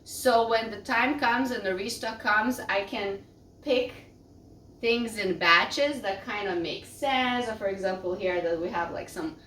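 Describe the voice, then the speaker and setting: A woman vlogging casually, her voice rough from a cold, talking loudly and rambling with self-deprecating asides. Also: mid-range voice, measured pace, slight background noise. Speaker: vlogger and small business owner. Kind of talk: casual chatty vlog. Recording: roomy echo, distant mic.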